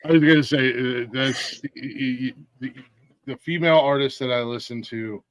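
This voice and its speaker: A man's voice: mid-range voice, moderate pace, fairly bright tone, no echo, rough recording. A man hosting a casual interview on a video call, friendly and stammering, and he laughs often. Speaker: podcast host. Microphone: video call setup.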